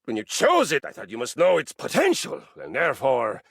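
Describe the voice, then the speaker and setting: An actor voicing a boastful, violence-loving warrior treadmill in a video game, with pompous, grandiose and formal delivery. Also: male voice, mid-range voice, huge pitch swings, a little fast, gravelly, a bit bright, breathy edge, no echo, studio quality, heavily acted. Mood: proud, menacing. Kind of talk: theatrical video game character acting.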